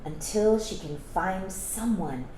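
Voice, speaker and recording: A woman's voice: mid-range voice, slow pace. Woman reading a storybook in an echoey room. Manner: expressive, explains to young listeners. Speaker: storytime reader. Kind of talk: children's storybook read-aloud with asides. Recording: echoey room with faint background noise.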